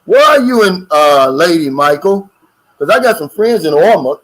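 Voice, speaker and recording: A man's voice: high-pitched, very animated, normal speed, fairly bright tone, low-quality recording. An older American man co-hosting a casual livestream chat and reading out viewer comments in a folksy, drawling way. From United States. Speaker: co-host of a livestream.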